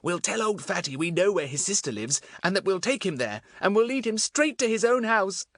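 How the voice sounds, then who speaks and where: A man voicing an eager, confident schoolboy with a bossy, excited manner in a storybook. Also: sits high, a little fast, no echo, clean studio sound, pitched above the performer's own voice, full character voice. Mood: scheming.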